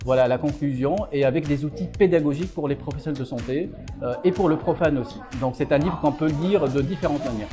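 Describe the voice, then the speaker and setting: A man's voice: a little high, fast, music in the background. A middle-aged man from Algeria, a psychiatrist and author, answering interview questions with many fillers and restarts.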